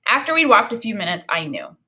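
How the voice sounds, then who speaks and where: A woman with a fairly high voice reads a children's story like a teacher to a class. Her delivery is expressive and dramatic, with very lively intonation. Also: quick pace. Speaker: teacher reading aloud. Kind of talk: expressive children's story read-aloud with comments.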